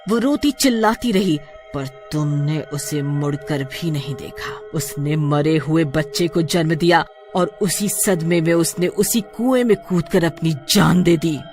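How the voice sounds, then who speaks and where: A woman's voice: sits low, measured pace, darkish tone, soft background music. A woman voicing a menacing witch ghost in a cartoon story, accusing and dramatic. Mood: accusing, vengeful.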